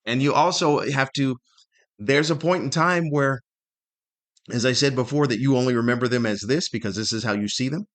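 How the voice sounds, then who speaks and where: A middle-aged man giving a candid, reflective solo podcast monologue in a conversational, thoughtful way, pausing to search for words and repeating himself. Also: mid-range voice, fairly bright tone. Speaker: podcast host, stand-up comedian.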